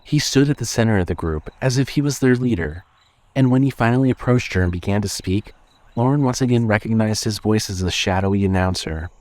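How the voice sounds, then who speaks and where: A man reading scripted horror fiction as narrator, in a measured storytelling manner. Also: lowish voice, a little mellow.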